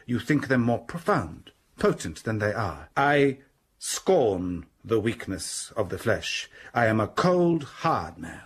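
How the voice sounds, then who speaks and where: A man's voice: on the low side, very animated, slow pace, husky, heavily acted. An actor playing a stern young clergyman torn by love in a radio drama, speaking restrained and earnest.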